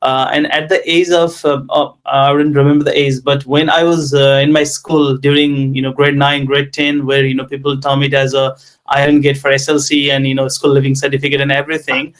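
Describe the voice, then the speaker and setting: A man with a Nepali accent, a tech entrepreneur in his thirties, telling his life story in an interview with many pauses and fillers. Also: medium pitch, little pitch movement. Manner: hesitant, many ums and you knows.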